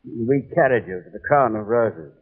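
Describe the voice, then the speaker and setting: An actor playing a detective's anxious, protective companion, earnest and exclamatory, in a 1930s radio drama. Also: male voice, lowish voice, lively intonation, normal speed, breathy edge. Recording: scratchy, narrow-band 1930s radio recording.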